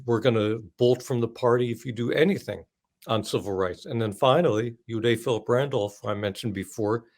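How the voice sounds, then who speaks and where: An older American man speaking as an author in an interview, explaining things emphatically in long, well-built sentences. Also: on the low side.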